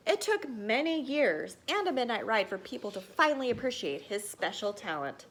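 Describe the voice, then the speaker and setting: Female storyteller, schoolteacher type; animated, theatrical read-aloud delivery, fairly high register. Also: moderate pace, a little hiss or hum. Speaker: teacher reading aloud.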